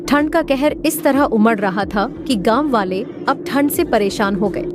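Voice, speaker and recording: A woman narrating an animated moral story in a steady, measured way. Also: fairly high pitch. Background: background music.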